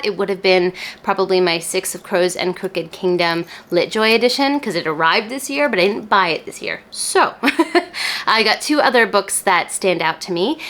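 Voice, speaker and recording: A woman's voice: mid-range voice, a bit bright. A woman chatting casually to camera as a book reviewer, enthusiastic and emphatic, with informal phrasing and casual swearing.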